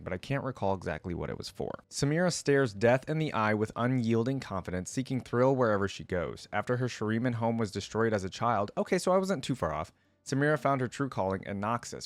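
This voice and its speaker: A man's voice: on the low side, dry recording. A man reading a story aloud and commenting on it casually, as a reaction video creator. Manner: casual, conversational asides.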